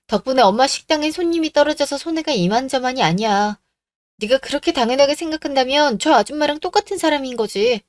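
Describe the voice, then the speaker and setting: A woman acts out an indignant young fiancée in a radio drama. She is blunt and scolding, with a fairly high voice in a dry recording. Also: dry recording. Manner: blunt, scolding, rhetorical questions. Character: indignant, firm young woman breaking off her engagement.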